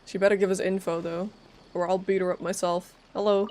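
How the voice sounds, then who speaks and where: A woman's voice: lowish voice, level tone, a little fast, darkish tone. Casual woman streamer muttering offhand asides. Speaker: game streamer. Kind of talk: casual live gameplay commentary.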